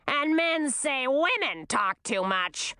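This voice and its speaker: A woman voicing a cruel queen turned into a hag, with a raspy, scornful voice in a storybook reading. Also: high voice, lively intonation, slow pace, bright, forceful tone, no room sound, clean studio sound, full character voice.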